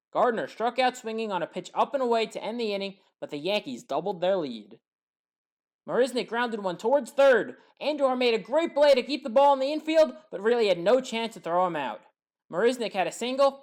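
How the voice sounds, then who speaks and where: A man narrating scripted play-by-play sports highlights as a commentator, with dramatic emphasis.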